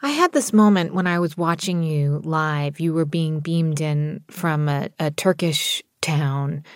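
A woman's voice: deep voice, a little slow, no room sound, clean studio sound. A woman, a radio host narrating in a polished, scripted public-radio style. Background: music under the voice.

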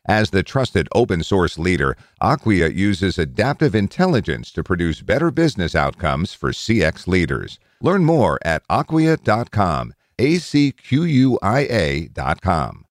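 A man with a deep voice narrates written news articles. His intonation moves around in a lively way as he reads the script. The sound is dry, with no room around it. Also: unhurried, hardly any pauses, dry recording.

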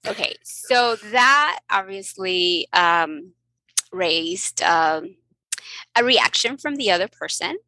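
Woman from mexico, spanish first language, bright lively voice, laughs her way through stories, dry close sound. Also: mid-range voice, slow pace, no echo, rough recording. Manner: animated, laughing storyteller. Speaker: guest physician. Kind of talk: casual storytelling interview.

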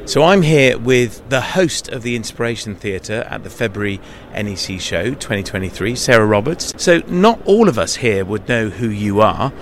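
A man's voice: medium pitch, a little hiss or hum. A man hosting a podcast interview at an exhibition show, conversational and encouraging, with short affirmations. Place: busy exhibition show floor.

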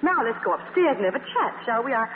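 An actress in a 1940s radio play, playing a brisk, gushing magazine career woman who talks in a hurried way. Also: on the high side, very animated, slight background noise. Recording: tinny, echoing old radio recording.

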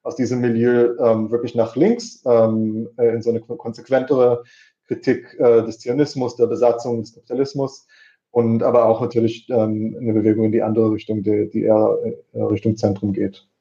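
A man's voice: mid-range voice, level tone, moderate pace, warm tone. A man talking in a casual political discussion, hesitant, with many fillers and restarts. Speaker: guest. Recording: dull lo-fi sound.

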